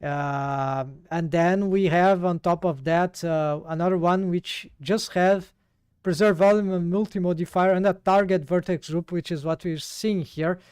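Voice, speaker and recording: A man's voice: sits high, fairly even intonation, a little slow, a little mellow, clear, resonant tone, no room sound. A man with a Brazilian accent, working through a 3D rigging task live and thinking aloud with many fillers and restarts. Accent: Brazilian Portuguese. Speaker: 3D rigging streamer. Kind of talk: live tutorial while working.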